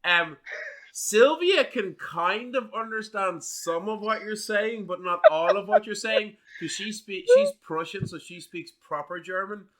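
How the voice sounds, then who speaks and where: Bright-voiced male game master. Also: sits high, rough recording. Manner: slow, deliberate scene narration. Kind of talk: narrating a tabletop game.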